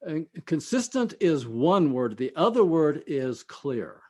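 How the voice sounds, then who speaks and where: A man speaking as an expert guest in an interview, explaining in a halting, conversational way and often trailing off at the ends of phrases. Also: fairly high pitch, sing-song, darkish tone, breathy edge, faint music behind.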